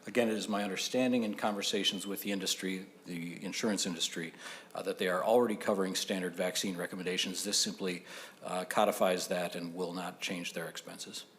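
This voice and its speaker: Male state senator, lowish register, deliberate and formal, slight room noise behind him. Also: steady tone, fairly bright tone. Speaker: state senator presenting a bill. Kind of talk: formal legislative testimony.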